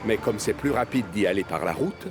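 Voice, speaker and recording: A man's voice: fairly low pitch, expressive, brisk. A man narrating a scripted children's story as a storyteller. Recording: music behind the voice.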